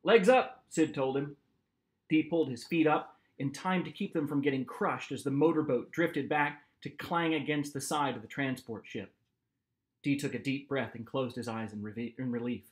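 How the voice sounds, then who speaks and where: A man in his forties reading a novel aloud as its author, with expressive, dramatic narration. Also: medium pitch, moderate pace. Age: 40s. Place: small home office, indoors.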